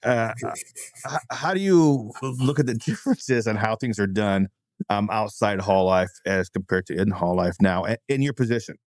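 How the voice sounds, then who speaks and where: A man hosts a casual podcast chat in a fairly low voice with a dry, close sound. He is joking and informal, stumbling a little over his phrasing. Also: normal speed, a little mellow, no room sound. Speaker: podcast host.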